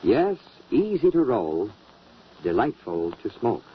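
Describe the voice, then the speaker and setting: A man with a husky voice announcing and selling in the style of an old-time radio commercial. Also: mid-range voice, big pitch swings, slow, slight background noise. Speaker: radio announcer and sponsor pitchman. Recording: dull vintage radio recording.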